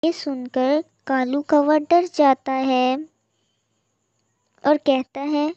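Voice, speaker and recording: A woman narrates a scripted children's story at an unhurried, slow pace. The recording is echoey and somewhat muffled. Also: high voice, level tone, dark tone. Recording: muffled lo-fi audio with echo.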